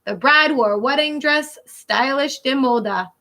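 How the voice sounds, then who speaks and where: Female schoolteacher for picture-book read-aloud; bright tone, unhurried delivery, teacherly explanatory asides. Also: high voice. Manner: teacherly, stops to translate and explain words simply. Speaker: elementary school teacher reading aloud to pupils.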